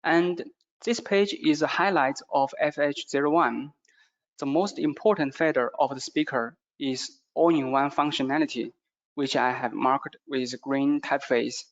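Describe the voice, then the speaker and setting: A man who is a non-native English speaker gives a product presentation in a halting way, with frequent hesitations. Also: medium pitch, fairly even intonation, muffled recording. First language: not English.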